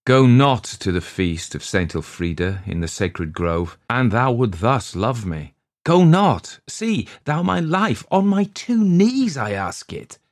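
Man as young cursed lover, storybook legend, imploring, trembling, archaic diction, highly animated pitch. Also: unhurried. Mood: desperate pleading. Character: anguished young huntsman lover, pleading, haunted by a curse.

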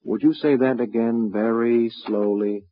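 An actor playing a shrewd, skeptical American private detective boss, wry and bossy, in an old-time radio drama. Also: male voice, medium pitch, fairly even intonation, unhurried, natural voice. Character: shrewd, skeptical, money-minded male New York private detective boss. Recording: muffled, dry old radio recording.

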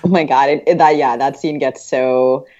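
A woman's voice: very deep voice, steady tone, moderate pace, warm tone. A woman co-hosting a podcast, interviewing in a thoughtful, discursive conversational way. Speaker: podcast co-host. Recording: dull lo-fi recording.

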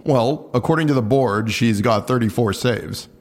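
Male beat cop acted for audio drama: mid-pitched, plain-spoken, guarded, offhand and a little reluctant. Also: normal speed, natural voice. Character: blunt, guarded male bridge patrol cop. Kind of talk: naturalistic audio drama acting.

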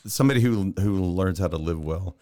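A man's voice: sits low, a little fast, mellow, dry recording. A middle-aged man from Texas with a Texan accent, a chatty and enthusiastic podcast guest telling stories with frequent laughs. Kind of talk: casual chat.

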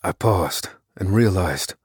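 An actor playing an unassuming, determined man who tells his own story as a secret vigilante in a measured, solemn audio drama narration. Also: low-pitched, animated, a little mellow, slightly rough, no echo, clean studio sound, full character voice. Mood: tense, reflective. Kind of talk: dramatic first-person scripted narration. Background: music under the voice.

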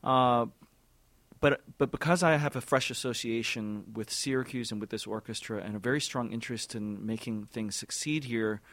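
A man in his forties, speaking at a middle pitch, gives careful, measured interview answers as an orchestra's music director. He often stops and restarts, repeating small words and filling gaps. The sound is close and dry, with no room echo. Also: a bit bright. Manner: thoughtful, halting, many repeated words and fillers.

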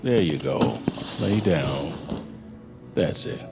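A patient orderly in an audio drama. His voice is extremely deep and calm, gently coaxing a patient along. Music sits behind him. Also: slow pace, gravelly, exaggerated voice. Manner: placid, coaxing. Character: calm, patient male orderly at a confinement facility. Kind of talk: audio fiction acting. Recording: music under the voice.

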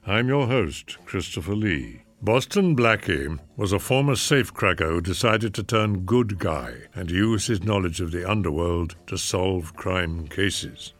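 A man hosting a radio drama series, reading a scripted introduction in a measured, theatrical manner. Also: sits low, fairly bright tone.

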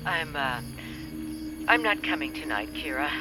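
A woman playing an older psychic mentor in an audio drama, solemn and halting, speaking on a phone call. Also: deep voice, very animated, loud music behind the voice. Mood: subdued.

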